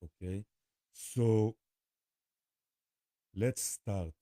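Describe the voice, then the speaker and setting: Slow, dark-voiced Israeli man, halting delivery. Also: lowish voice, no room sound, clean studio sound. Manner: halting, with frequent pauses and repetitions. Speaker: spiritual vlogger. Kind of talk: rambling informal talk to camera.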